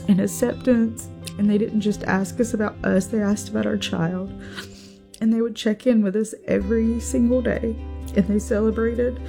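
A woman's voice: fairly high pitch, creaky, mellow. A young woman giving a sincere, heartfelt testimonial as a parent. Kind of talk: recorded testimonial. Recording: guitar music behind.

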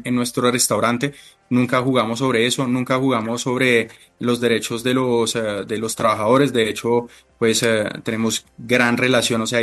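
A man speaking Spanish, giving halting, deliberate conversational answers as a chef being interviewed. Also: mid-range voice, fairly bright tone. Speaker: interview guest, restaurant chef.

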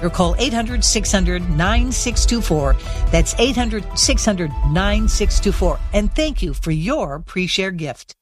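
A smooth, measured announcer reads a scripted fundraising appeal. Music plays clearly underneath the voice throughout. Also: mid-range voice, animated. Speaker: radio announcer. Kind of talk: fundraising promo. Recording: promo over music.

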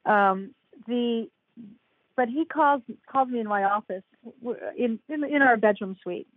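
An older woman with a fairly high voice talks eagerly and laughs a lot as a podcast guest. She comes through a thin, phone-like remote line with a dry sound. Her chat is loose and animated. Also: unhurried, no room sound. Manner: enthusiastic, laughs often. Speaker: guest author.

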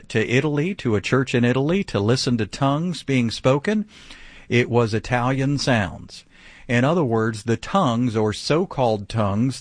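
A man explaining Bible passages in a conversational teaching style, emphatic and full of rhetorical questions, pausing often on "uh". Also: medium pitch, no room sound. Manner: emphatic, rhetorical questions, uses many "uh" fillers. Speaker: Bible teacher on a talk show.

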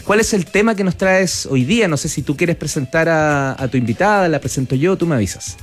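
A man's voice: on the high side, animated, brisk, faint music behind. A man hosting a talk program, upbeat, affirming his guests and asking questions. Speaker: host.

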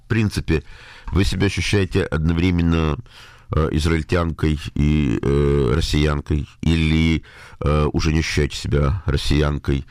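A man with a very deep, low voice asks his guest measured questions. The sound is dry and close, with no room echo. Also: a little mellow. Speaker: interviewer. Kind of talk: conversational interview.

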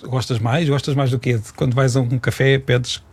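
A man's voice: mid-range voice, little pitch movement, moderate pace, darkish tone, husky. A man with a European Portuguese accent explaining things in unscripted conversation, in a didactic, emphatic way, rephrasing as he goes. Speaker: language expert, regular guest.

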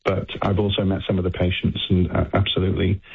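An older man with a Liverpool accent speaks haltingly as a scientist guest on a phone interview. His low voice is gravelly and husky, flat in tone. The sound is thin and tinny, like a phone line. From England. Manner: halting, repeats words while thinking.

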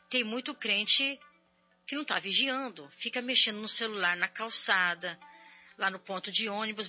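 Chatty woman radio host, bright voice. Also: mid-range voice, lo-fi sound. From São Paulo, Brazil. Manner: chatty, warm preaching tone with frequent "né?". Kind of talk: religious call-in radio talk.

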